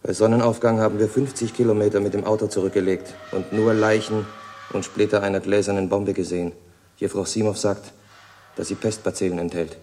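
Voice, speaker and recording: A male actor plays a steadfast man of the party in a radio play. His voice sits on the low side. He speaks soberly and firmly, like someone used to giving orders. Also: flat delivery, brisk, darkish tone, lightly acted. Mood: grave. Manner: firm, sober, declamatory when commanding. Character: Adam, steadfast young party man taking command. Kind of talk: German radio play acting.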